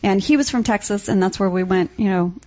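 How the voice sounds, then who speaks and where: A woman's voice: medium pitch, a little fast, warm tone, muffled recording. A woman, born in Louisiana and raised in Indonesia, guesting on a radio show and telling bubbly, laughing anecdotes with acted-out quotes. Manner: bubbly, laughing, acts out quotes in funny voices. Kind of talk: casual anecdote chat. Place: radio studio.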